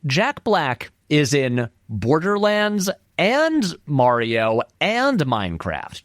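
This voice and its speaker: A man's voice: on the high side, no echo, studio quality. A man giving informal, discursive and emphatic commentary as an interview guest, in a conversational style. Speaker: interview guest, film critic and video essayist.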